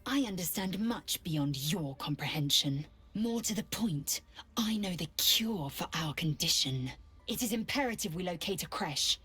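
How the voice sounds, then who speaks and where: A woman playing a stern, haughty alien warrior in a fantasy video game, speaking in a clipped, disdainful, commanding way with a husky rasp. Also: lowish voice, expressive, a little slow, fairly bright tone, no room sound, soft background music, heavily acted. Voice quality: husky, raspy.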